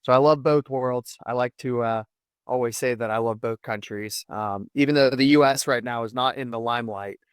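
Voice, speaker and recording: A man's voice: mid-range voice, moderate pace, clear, resonant tone, no echo, clean studio sound. A man raised in the US and Denmark, whose first language is Danish, telling his career story as a podcast guest in an eager, running style. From United States and Denmark. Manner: enthusiastic storyteller, repeats "I'm" phrases. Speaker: podcast guest, video studio founder. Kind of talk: casual interview talk.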